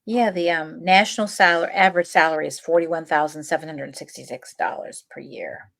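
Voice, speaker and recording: A woman's voice: low voice, fairly even intonation, moderate pace, vocal fry, fairly bright tone. A young woman co-hosting a podcast, telling stories in a chatty, laughing way. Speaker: podcast co-host. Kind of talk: casual chat.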